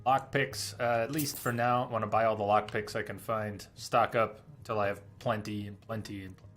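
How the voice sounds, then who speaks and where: A man's voice: on the low side, steady tone, moderate pace, fairly bright tone. Man musing through casual gameplay commentary. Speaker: video game streamer. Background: game music and rain.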